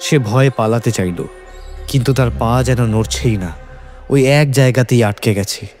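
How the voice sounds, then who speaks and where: A man's voice: mid-range voice, moderate pace, darkish tone. Male horror-story narrator over music. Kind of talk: dramatic scripted horror narration. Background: background music.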